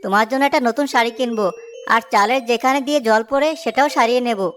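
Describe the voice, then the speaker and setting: Honest hardworking village husband for a cartoon woman acting it, high-pitched, earnest, light music underneath. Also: a little fast, fairly bright tone, natural voice. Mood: excited, hopeful. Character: honest hardworking village samosa seller, a married man. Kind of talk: animated moral-story cartoon dialogue. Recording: music behind the voice.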